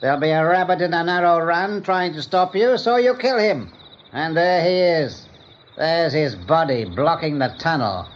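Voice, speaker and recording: Rabbit warlord male actor: high voice, commanding, deliberate menace, vengeful pride, vintage radio drama sound. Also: natural voice. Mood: cold, superior. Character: ruthless, proud rabbit general, obsessed with vengeance. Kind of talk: 1980s radio play acting. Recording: dull vintage radio recording.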